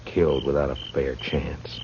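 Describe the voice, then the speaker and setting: An actor playing a stoic, world-weary frontier marshal in a 1950s radio western, with laconic, measured, dryly menacing delivery. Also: male voice, a bass voice, little pitch movement, darkish tone. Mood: calm, probing. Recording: dull, noisy old radio broadcast recording.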